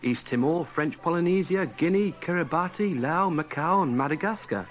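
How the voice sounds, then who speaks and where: A man's voice: a little high, lively intonation, moderate pace. A man co-presenting a radio show, reading items aloud on air.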